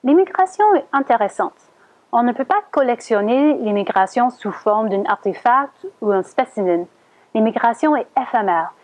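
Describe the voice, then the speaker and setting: A woman heritage interpreter with a fairly high voice presents a museum tour in clear, measured sentences. The recording is dry, with no room echo. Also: lively intonation, darkish tone. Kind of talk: scripted guided-tour narration.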